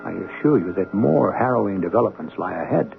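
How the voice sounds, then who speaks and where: A man hosts a radio mystery drama, narrating in a measured, ominous, theatrical way. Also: low voice, lively intonation, a little hiss or hum. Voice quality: gravelly. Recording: tinny band-limited 1970s radio broadcast.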